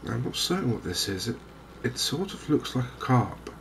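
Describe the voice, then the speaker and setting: A softly spoken man giving hesitant, unhurried ASMR-style commentary over a video game. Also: mid-range voice, sing-song. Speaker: gaming video host. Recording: clear game ambience noise behind voice. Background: outdoor wildlife ambience from a fishing game.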